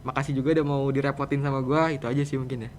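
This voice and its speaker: A man's voice: mid-range voice, little pitch movement, rapid-fire, a little hiss or hum. A teenage male student with a Jakarta Indonesian accent, answering casually and a little hesitantly as a podcast guest. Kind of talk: casual interview chat.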